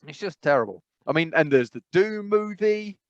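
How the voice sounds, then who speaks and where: A man's voice: high-pitched, lively intonation, moderate pace, dry recording. A man co-hosting a casual podcast, rambling with lots of fillers and restarts. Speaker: podcast co-host. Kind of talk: casual chat. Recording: dry, slightly dull sound.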